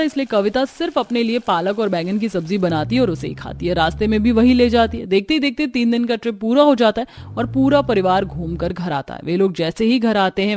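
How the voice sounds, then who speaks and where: A woman's voice: medium pitch, darkish tone. A woman narrating a scripted family story as a storyteller.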